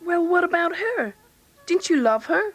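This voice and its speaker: A woman's voice: very high-pitched, animated, moderate pace. A woman playing an eager, inquisitive teenage boy in a dramatised read-along story, talking in a casual, blunt way. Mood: curious.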